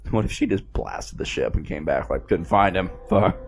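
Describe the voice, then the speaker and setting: A man giving casual, slangy, excitable fan commentary as he watches a movie. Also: low-pitched, moderate pace, vocal fry, faint music behind. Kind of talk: casual film reaction commentary.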